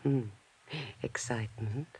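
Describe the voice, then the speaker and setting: A woman's voice: rumbling low, steady tone, slow, over loud music. An actress playing a sultry, flirtatious married woman who teases coyly in a 1950s radio drama. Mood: sultry, languid. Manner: coy, teasing.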